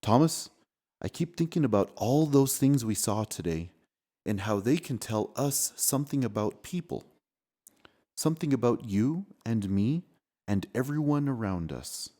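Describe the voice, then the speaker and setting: Guy reading as a kind earnest boy from a picture book, soft relaxed voice, gently explaining. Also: mid-range voice, a little slow. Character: thoughtful, earnest, kindly boy in a storybook.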